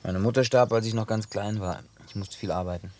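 A man's voice: lowish voice, a little fast, a little mellow, slight background noise, lightly acted. An actor dubbing a wry, teasing farm-boy type in a German film, talking dryly and laconically. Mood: reflective. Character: wry grown man, a farm boy at heart, teasing and guarded. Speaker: Jim, survivor in a German film dub.

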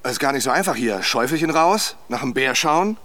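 Schauspieler als großspuriger, redseliger Mann mittleren Alters, sehr lebhafte Sprachmelodie. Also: male voice, medium pitch, lively intonation, fairly quick, fairly bright tone, close to an everyday voice. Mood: jovial. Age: middle-aged. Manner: garrulous, bantering, swaggering. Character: boisterous, jovial middle-aged husband on a wilderness trip. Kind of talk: naturalistic German radio drama acting.

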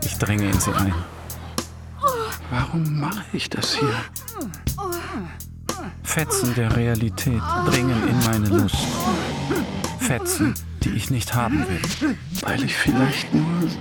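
A man's voice: fairly high pitch, huge pitch swings, slow, pauses often, full character voice. An actor playing a brooding, troubled man in an intimate radio drama, with hesitant delivery. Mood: tormented, intense. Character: brooding, troubled husband drifting into an affair. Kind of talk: intimate radio drama dialogue and inner monologue. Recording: music under the voice. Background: music.

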